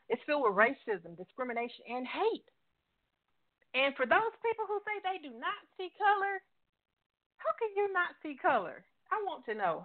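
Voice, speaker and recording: A woman hosting a call-in talk show, emphatic and repeating her questions for effect. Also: a little high. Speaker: radio show host. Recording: tinny phone-line sound.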